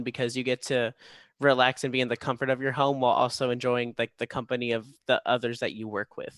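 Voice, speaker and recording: A man gives casual answers as a member of a cast, in a halting manner. His voice is medium in pitch and the sound is close and dry, without room echo. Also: a bit bright, smooth. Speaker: stage actor. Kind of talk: casual chat.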